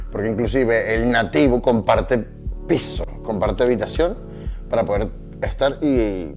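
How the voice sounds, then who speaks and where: A man in his thirties with a Venezuelan Spanish accent, telling his story casually in an interview, with hesitations and repeated words. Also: mid-range voice. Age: 30s. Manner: relaxed, repeats words for emphasis, frequent hesitations. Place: bright room indoors, seated on sofa. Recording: roomy sound with music under it.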